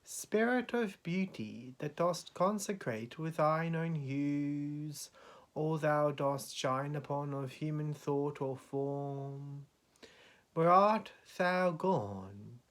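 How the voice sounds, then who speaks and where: Male poetry reader, grave and dramatic, extremely unhurried, measured phrasing with weighty pauses. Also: a little high. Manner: solemn, measured delivery with deliberate pauses.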